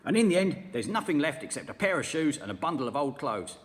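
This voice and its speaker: A man's voice: a little high, very animated, quick pace, in a large hall, pitched above the performer's own voice. Cruel old husband, taunting and gleeful, raised voice, a man puts him on. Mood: gloating. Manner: taunting, mock-solemn. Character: nasty gloating old man.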